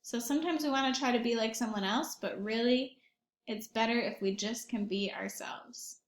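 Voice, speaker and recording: A woman's voice: a little high, normal speed, echoey room. A woman reading a picture book aloud to young children as a teacher would, expressively, with questions and asides to her listeners.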